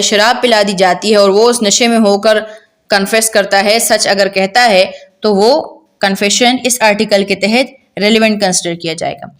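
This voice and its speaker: A woman lecturing on law in an explanatory, didactic way, repeating and rephrasing points with rhetorical questions. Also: medium pitch. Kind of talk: explanatory law lecture mixing Hindi-Urdu and English.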